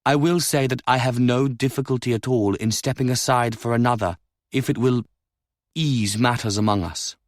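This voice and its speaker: A careful, diffident nobleman, as a male narrator puts him on, speaking quietly and with measured restraint. The voice stays near the reader's own middle pitch, close and dry. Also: no echo, lightly acted.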